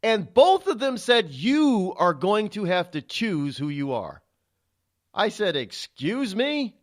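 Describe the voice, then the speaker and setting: A man's voice: high voice, very animated, slow pace. A middle-aged man hosting a talk radio show, delivering an indignant, rhetorical monologue with frequent stumbles and fillers.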